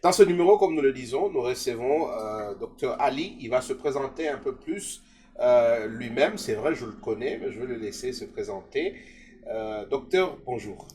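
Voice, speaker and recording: Formal host from DR Congo. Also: male voice, mid-range voice, animated, normal speed, darkish tone. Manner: formal, rhetorical. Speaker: podcast host. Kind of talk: formal show introduction.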